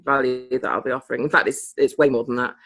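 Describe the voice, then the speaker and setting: A woman's voice: a bass voice, steady tone, fast, a bit bright, husky, faint music behind. A woman chatting casually on a group video call. She laughs often and sometimes loses her thread. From Britain. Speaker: participant in a coaching group call.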